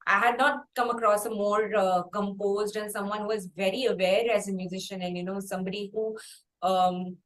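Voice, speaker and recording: A woman's voice: mid-range voice, fairly even intonation, normal speed, low-quality recording. A woman with an Indian accent hosting a conversational interview, phrasing questions in a wordy, hesitant, circling way. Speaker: interviewer, music show host.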